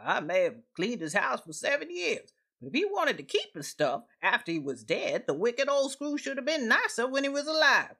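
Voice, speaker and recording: A woman's voice: on the high side, sing-song, moderate pace, pushed and bright, exaggerated voice. A woman playing a brash, gleeful, unrepentant housekeeper in a radio drama, brassy and scornful. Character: brash, gleeful, unrepentant housekeeper who robbed dead master. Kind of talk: theatrical radio drama acting.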